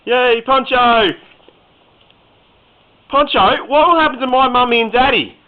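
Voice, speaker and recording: Guy doing really high whiny little kid, naive n excitable, skit, muffled lofi audio. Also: a little slow. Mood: excited. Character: excitable naive child asking anxious questions. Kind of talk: amateur mock Q&A sketch. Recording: muffled lo-fi home recording.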